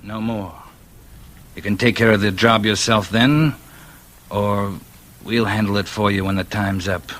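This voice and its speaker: An actor playing a smooth gangster boss in 1940s radio crime drama style, genial on top with understated menace. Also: male voice, lowish voice, flat delivery, a little slow, long pauses, a bit bright. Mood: calm menace.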